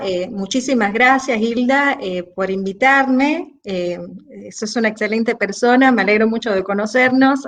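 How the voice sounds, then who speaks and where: Cuban woman conductor on a video call, bit high voice, lots of eh pauses. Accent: Cuban Spanish. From Cuba. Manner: warm, thoughtful, pauses often with eh. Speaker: orchestra conductor, guest. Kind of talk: interview over a video call.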